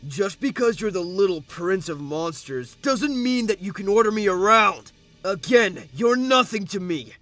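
A man voicing a brash, excitable young boy in a comic dub, speaking in exclamations. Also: high voice, a little slow, no echo, soft background music, heavily acted. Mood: hostile. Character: young boys, excitable and brash, cartoon humans.

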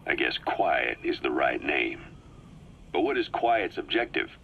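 An actor playing a shrewd, seasoned male military intelligence officer in a game, briefing in a measured, deliberate way with dramatic pauses. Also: very deep voice, big pitch swings, creaky, muffled recording, a little hiss or hum, exaggerated voice. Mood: grave, analytical. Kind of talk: scripted game audio briefing.

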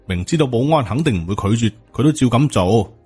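A man's voice: mid-range voice, animated, fast talker, a little mellow, breathy edge. Hong Kong Cantonese male storyteller. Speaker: audiobook narrator. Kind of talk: scripted novel narration, storytelling. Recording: music under the voice. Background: background music.

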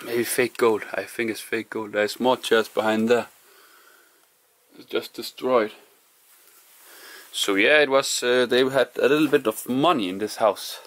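A man narrating quietly to camera as he explores an abandoned house, musing in hushed. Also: medium pitch, fairly even intonation, measured pace, pauses often, slight background noise. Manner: hushed, musing, non-native English phrasing. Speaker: urban explorer vlogger. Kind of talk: casual narration while exploring.

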